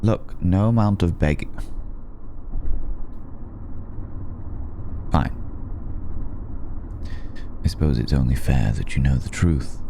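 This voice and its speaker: A man playing an elegant, centuries-old vampire in an ASMR roleplay, speaking with measured, darkly polite menace and weary hesitation. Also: background noise, exaggerated voice. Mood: reluctant, grave. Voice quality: very deep, gravelly, dark. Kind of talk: slow intimate ASMR roleplay addressed to listener.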